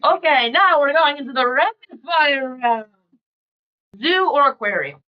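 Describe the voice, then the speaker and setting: A woman's voice: high voice, expressive, a little slow, dull audio. A female speaker reading out quiz questions in a casual podcast style.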